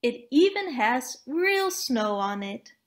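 A woman's voice: high voice, very animated, takes it slowly, full character voice. A woman voicing an eager, naive, cheerful male snowman in a children's storybook, bubbly and wide-eyed. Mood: happy.

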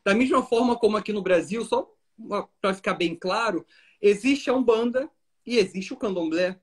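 A Brazilian man speaks as a priest and guest on a live stream. His voice is high for a man and husky, and he explains things didactically so that beginners can follow. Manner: didactic, checks that listeners follow. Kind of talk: explanatory live-stream talk for lay listeners.

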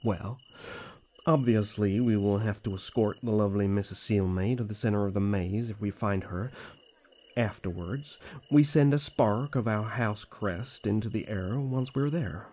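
A man's voice: fairly low pitch, normal speed, dark tone, natural voice. A man voicing a playful, cocky young elf prince with a teasing, courtly way of talking, in a fantasy audio roleplay.

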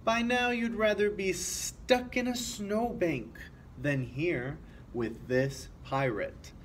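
Young guy reading a kids book out loud, takes it slow, expressive, little bit of room noise. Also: sits high. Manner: expressive, with commentary to young listeners. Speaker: storytime reader. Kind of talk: children's picture book read-aloud. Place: small office room, indoors. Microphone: camera a few feet away. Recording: faint background noise.

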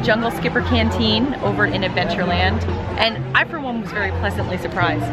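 Chatty woman vlogging in a crowd. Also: medium pitch. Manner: upbeat, chatty, with fillers. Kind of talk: casual family vlog. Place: busy restaurant and theme park. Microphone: handheld camera at arm's length. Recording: noisy crowded restaurant. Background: crowd chatter and music.

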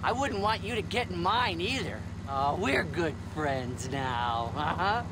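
An actor playing a creepy, unhinged male serial killer in a video game, talking in a soft, wheedling sing-song. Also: a little high, very animated, unhurried. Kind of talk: video game cutscene dialogue. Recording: game audio with loud city noise.

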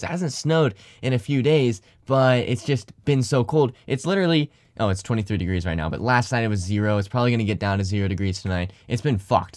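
A man's voice: fairly low pitch, darkish tone, no room sound, clean studio sound. Tired young man rambling to camera. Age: young adult. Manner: rambling, swears a lot, thankful. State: tired, slightly deep morning voice. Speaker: YouTuber and streamer. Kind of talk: casual vlog talk to camera. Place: small bedroom corner.

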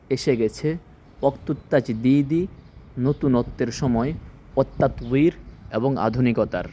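Male tutor, mid-pitched mellow voice, didactic chunked delivery of a scripted lesson, slight background noise. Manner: didactic, enunciates phrases in small chunks. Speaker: language teacher. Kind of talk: scripted lesson, phrase-by-phrase translation.

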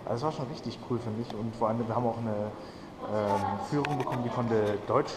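A young man vlogs into a camera held at arm's length, chatty and enthusiastic with plenty of fillers. His pitch sits in an ordinary middle range. Loud background noise runs under his voice throughout. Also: fairly even intonation, fast. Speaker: vlogger. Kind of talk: casual travel vlog. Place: museum indoors, then city streets at night. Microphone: action camera held at arm's length.

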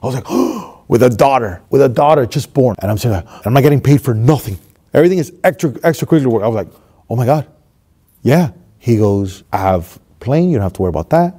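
A man in his thirties from Miami tells a motivational story, swinging between hushed and loud as he acts it out. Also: medium pitch, sing-song, fast talker, slightly rough. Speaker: motivational speaker. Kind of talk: storytelling monologue. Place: stage with red curtain, seated.

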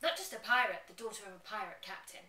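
A woman's voice: mid-range voice, expressive, fast talker, bright, forceful tone, far from the mic. A woman playing a bold, proud young pirate girl with theatrical delivery in a radio play. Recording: roomy, away from camera mic.

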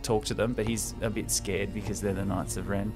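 A man's voice: fairly low pitch, flat delivery, brisk. A young man hosting a podcast, casually and enthusiastically retelling a script in an informal way, close on the microphone. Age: young adult. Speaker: podcast host. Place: small room indoors. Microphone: close podcast microphone on stand. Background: faint music.

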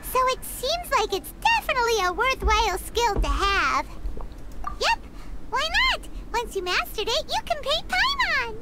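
A woman voicing a small, childlike fairy companion in a video game, squeaky, excitable and cheeky, given to indignant exclamations. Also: measured pace, very noisy, heavily acted. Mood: eager. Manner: exclamatory, speaks of herself in third person. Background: game music.

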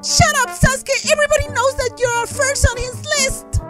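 A woman's voice: very high-pitched, steady tone, moderate pace. A woman voicing a fed-up, snappish adult wife who exclaims in a parody cartoon. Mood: angry. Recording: music loud behind the voice.

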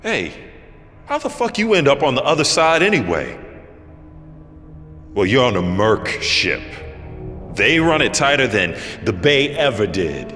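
An excitable, fawning prison inmate in a game. His voice swoops wildly in pitch, rambling and crude, jumping from bursts to mutters. It rings in a large hall over loud music. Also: measured pace, long pauses. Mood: uneasy, gossipy. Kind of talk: cinematic video game acting.

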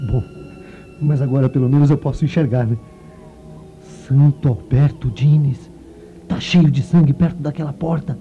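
A man playing a nosy, jittery reporter muttering to himself in a comic radio play, with a creaky voice. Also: on the high side, dark tone. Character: nosy, jittery newspaper reporter snooping alone. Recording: dull lo-fi radio recording.

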